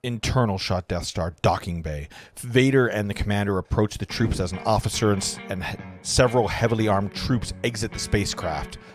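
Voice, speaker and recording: A man's voice: fairly low pitch, brisk, soft background music. A man narrating screenplay stage directions at a live table read, with casual asides.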